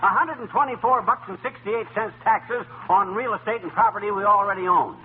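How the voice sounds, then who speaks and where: Man acting blustery middle-aged husband, indignant folksy griping, raised pitch, old-time radio comedy, narrow tinny sound. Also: brisk, close to an everyday voice. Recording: noisy, thin old-time radio recording.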